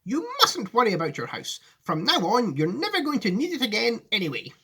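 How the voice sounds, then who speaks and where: An exuberant, eccentric gentleman from a storybook, as a man reads him. He is polite and assured, with a raised, very animated voice. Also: sits high, brisk, husky, pitched above the performer's own voice, heavily acted. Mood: cheerily polite.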